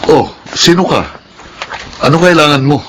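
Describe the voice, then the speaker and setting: An actor in a Filipino radio drama playing a bitter, unforgiving younger brother with a curt, contemptuous way of talking. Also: male voice, a little high, moderate pace, over loud music, lightly acted. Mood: suspicious, scornful. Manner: curt, scornful.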